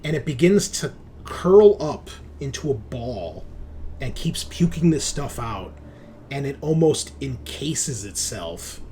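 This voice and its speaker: A man game mastering a tabletop horror session, speaking in a halting, descriptive and casual way. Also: mid-range voice, very animated, warm tone. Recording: noticeable background noise.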